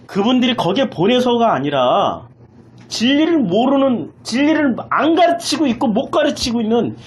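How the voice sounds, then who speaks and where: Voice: mid-range voice, sing-song, moderate pace. A pastor preaching an impassioned sermon, emphatically repeating phrases and putting rhetorical questions in a raised voice.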